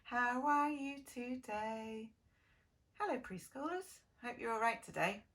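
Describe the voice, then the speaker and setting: A woman teacher telling a story to preschool children in a sing-song, exaggerated way, gently asking them questions. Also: a little high, unhurried. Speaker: preschool early years teacher reading a story.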